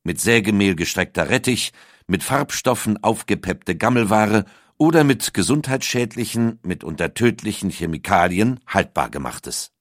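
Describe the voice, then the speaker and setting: A man's voice: lowish voice, expressive, normal speed, no echo, clean studio sound. A man reading a scripted documentary audiobook as its narrator. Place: dry recording studio.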